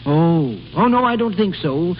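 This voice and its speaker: A dim, befuddled grand duke in an old radio comedy. His voice is rather high and hesitant. The aged recording is muffled and faintly noisy. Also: lively intonation, measured pace. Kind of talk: 1930s-40s American radio comedy acting.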